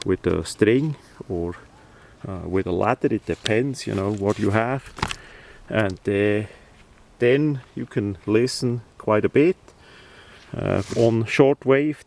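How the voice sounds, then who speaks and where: Guy doing a casual how-to, deepish voice, really slow, stops mid phrase a lot. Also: darkish tone. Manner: halting, hesitant, pausing mid-phrase. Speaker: presenter of a how-to video. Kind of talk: informal instructional demonstration.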